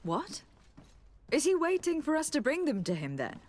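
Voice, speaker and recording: A woman's voice: high-pitched, wildly swooping pitch, moderate pace. A woman voicing a poised, practical noble diplomat in a video game, formal and assured. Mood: inquisitive, practical.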